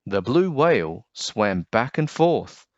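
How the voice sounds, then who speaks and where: A man narrating a children's picture book in an expressive, scripted read-aloud style. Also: mid-range voice, takes it slowly, no room sound, dull audio.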